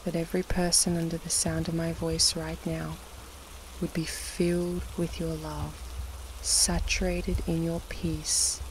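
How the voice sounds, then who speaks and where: A narrator reading scripture and prayer for a sleep meditation in a measured, solemn way with pauses between short phrases, in a devotional style. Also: medium pitch, slight background noise, poor audio. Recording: steady rain sound over the voice. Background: soft rain.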